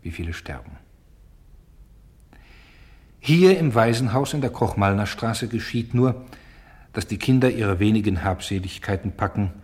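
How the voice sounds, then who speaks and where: A man playing a solemn narrator who embodies Time in a radio drama, with a husky voice and a grave, incantatory delivery. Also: lowish voice, a little mellow. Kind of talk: scripted literary narration.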